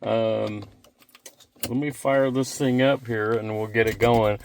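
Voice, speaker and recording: A man's voice: fairly low pitch, steady tone, slow pace, vocal fry, slight background noise. An American man in his sixties giving a casual, unscripted product review in a rambling, folksy, plain-spoken way. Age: 60s.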